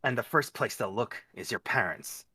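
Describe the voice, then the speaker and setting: Man acting gruff stubborn hero, deep voice, terse delivery, deadpan, table read. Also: moderate pace, dry recording, exaggerated voice. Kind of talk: live script table read.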